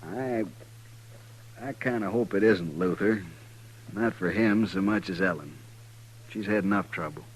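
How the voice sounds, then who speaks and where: An actor playing a modest small-time cattle rancher in a 1950s radio western, with a plain, reserved Western American way of speaking. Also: male voice, lowish voice, measured pace, pauses often, husky, low-quality recording. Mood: troubled, reluctant.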